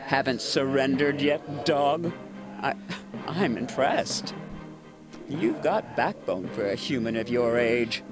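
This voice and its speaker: A man's voice: medium pitch, lively intonation, slow pace, over music. A man voicing an ancient, haughty eldritch horror, a pompous and menacing villain in a video game. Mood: taunting. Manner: pompous, theatrical, taunting. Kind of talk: dramatic video game voice acting.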